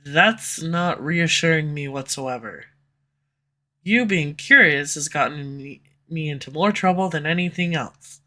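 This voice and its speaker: Woman doing a snarky villain, bright edgy voice, sarcastic teasing all the way through. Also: deep voice, a little slow. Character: snarky sharp-tongued villain, slightly tsundere. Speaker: audio roleplay voice actor. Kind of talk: scripted audio roleplay. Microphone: USB condenser mic.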